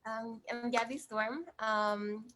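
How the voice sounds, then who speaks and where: A woman from Sweden speaks softly and a bit shyly as a talk show guest, her voice fairly high. A faint background noise runs under her voice. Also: flat delivery, slow. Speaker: guest, model and cosplayer. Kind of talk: casual interview chat.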